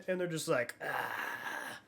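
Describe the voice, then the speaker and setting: Male podcast co-host, slightly high voice, loose and rambling casual talk. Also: measured pace, bright, forceful tone, husky. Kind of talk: casual chat about movies.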